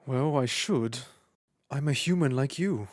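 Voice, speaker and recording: A woman as a low-voiced, lonely, earnest creature. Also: lively intonation, a little slow, dry recording, clean studio sound, exaggerated voice. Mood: earnest, pleading. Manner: earnest, gentle, hesitant. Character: lonely, earnest human-turned-creature, caring friend. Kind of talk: animated comic dub acting.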